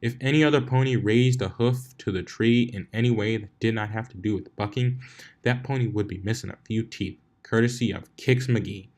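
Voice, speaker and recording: A man's voice: fairly low pitch, normal speed, a little mellow. A man reading a story aloud as its narrator, in scripted narration. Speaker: fan fiction reader, narrator.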